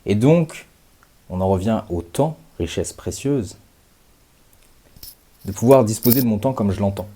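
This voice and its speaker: A man in his late thirties giving a reflective, measured monologue to his viewers, pausing often and stretching out words. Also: lowish voice, mellow. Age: 30s. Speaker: channel host.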